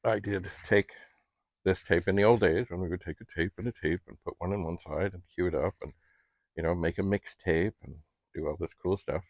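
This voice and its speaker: A man's voice: sits low, a little fast, darkish tone, no echo, rough recording. A middle-aged man talking casually to camera in a rambling, chatty, politely old-fashioned way, as a home vlogger. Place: basement at home.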